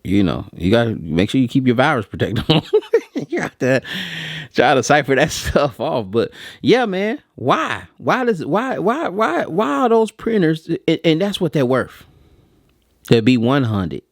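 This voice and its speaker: A man's voice: mid-range voice, big pitch swings, a little slow, no room sound. An American man hosts a casual, unscripted video in a mid-range male voice. He speaks bluntly and colloquially, often repeating a phrase to drive it home. The sound is dry, with hardly any room on it. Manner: emphatic, repeats phrases for stress. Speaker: video host and print equipment reviewer. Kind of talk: casual unscripted opinion talk.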